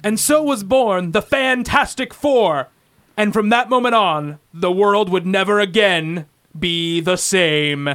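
A man's voice: sits high, fairly bright tone, no echo. A man narrating a comic-book radio play in a theatrical, portentous scripted style.

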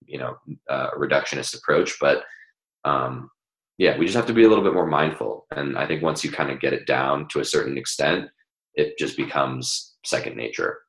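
A man talking casually about nutrition for athletes, explaining with many pauses and fillers. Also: very deep voice, vocal fry, a bit bright, roomy sound, low-quality recording. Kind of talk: casual podcast conversation.

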